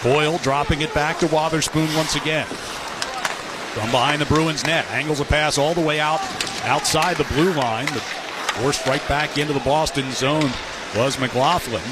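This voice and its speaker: A man's voice: a little high, unhurried. A man doing live radio hockey play-by-play, describing the action in clipped phrases. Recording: noisy live arena broadcast. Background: loud arena noise.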